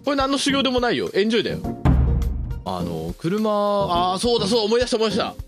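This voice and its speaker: Male podcast co-host, around 30, slightly high voice, hugely lively intonation, casual explanatory storytelling. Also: quick pace. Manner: animated, explaining, conversational. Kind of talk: casual chat, telling personal stories. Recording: background music under the talk. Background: music.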